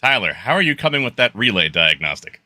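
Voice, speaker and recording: A man's voice: lowish voice, very animated, quick pace, pushed and bright, no echo, clean studio sound, exaggerated voice. A man playing a confident, practical starship engineer in a radio play, talking casually. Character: confident, easygoing male starship chief engineer. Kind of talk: radio play live read.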